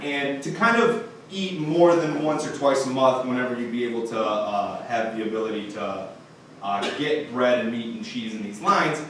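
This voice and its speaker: A man from Romania telling a childhood story on stage in a loose, halting way with frequent uh's. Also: medium pitch. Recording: echoey room mic, far off, faint noise.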